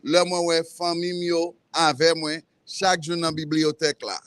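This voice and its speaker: A man with a Haitian accent, a native Creole speaker, giving halting, measured personal remarks at a press conference. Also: fairly high pitch, steady tone, a bit bright, clean tone, no room sound. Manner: halting, measured, with self-corrections. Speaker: librarian, campaign participant. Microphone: event microphone.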